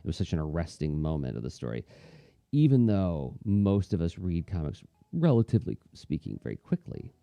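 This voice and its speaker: A man's voice: low voice, wildly swooping pitch, normal speed, vocal fry, dark tone, no echo, highs cut off. A man in casual, reflective chat, talking in a thoughtful, rambling, conversational way as an artist hosting a livestream.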